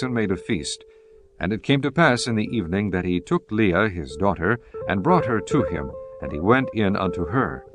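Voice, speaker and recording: A man narrating scripture in a measured, reverent manner. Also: lowish voice. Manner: measured, reverent, archaic diction. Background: guitar music.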